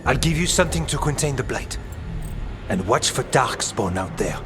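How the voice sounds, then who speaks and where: An actor playing an earnest, curious scholar-warrior in a video game, thoughtful and a little hesitant. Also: male voice, medium pitch, animated, normal speed, close to an everyday voice. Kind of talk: dramatic video game cutscene acting. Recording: clear music behind the voice.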